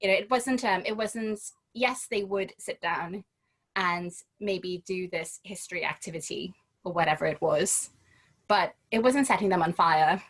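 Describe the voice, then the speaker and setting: A woman from Trinidad and Tobago, a guest speaking in a relaxed interview and often restarting her phrases as she thinks. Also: on the low side, unhurried, poor audio. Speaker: guest, home educator. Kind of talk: casual conversational interview.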